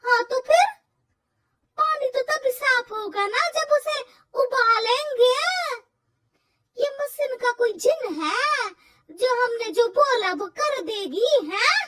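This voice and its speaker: A woman voicing a stubborn old village mother-in-law in a cartoon story, exclaiming loudly in rustic speech. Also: very high-pitched, animated, slow, heavily acted. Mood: scolding.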